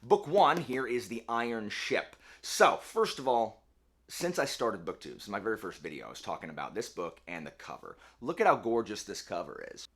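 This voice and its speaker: A man hosting a casual book video, chatty and rambling, often correcting himself, his voice a little hoarse. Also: lowish voice, very animated, moderate pace, a bit bright. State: slightly hoarse. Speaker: book channel host.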